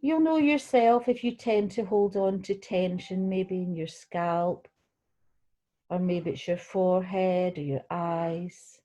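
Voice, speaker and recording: A woman leading a guided relaxation meditation, speaking gently and soothingly, unhurried, with pauses between phrases. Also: lowish voice, lo-fi sound. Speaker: guided meditation leader, tai chi qigong teacher.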